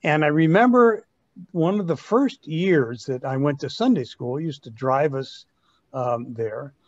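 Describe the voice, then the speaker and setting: A man's voice: fairly high pitch, animated, measured pace, no echo. Older male, casual storyteller, dry and dull call audio. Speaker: group participant.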